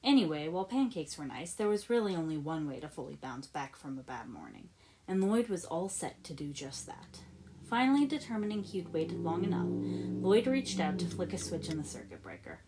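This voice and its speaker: Woman, lowish pitch, story reader, expressive narration. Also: normal speed, a little hiss or hum, poor audio. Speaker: podfic reader. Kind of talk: expressive narration of fan fiction.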